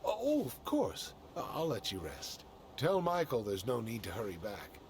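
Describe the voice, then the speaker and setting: A man playing a fussy, awkward, prying acquaintance in a video game, halting and overly solicitous. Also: medium pitch, huge pitch swings, a little mellow, slight background noise. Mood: nosy politeness.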